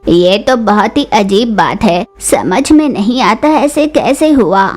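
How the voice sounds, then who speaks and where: A woman's voice: mid-range voice, expressive, slow pace, a little mellow, faint music behind. A woman voicing an accommodating, curious female crow shopkeeper with a puzzled, questioning manner in a cartoon story.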